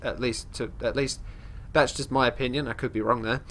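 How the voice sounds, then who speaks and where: Stuffy-nosed man, mid-pitched, casual rambling game commentary with a faint hiss behind. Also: fairly even intonation, a little fast, a bit bright. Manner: rambling, chatty, often restarting sentences; talks about his character in third person. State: congested. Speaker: let's play host.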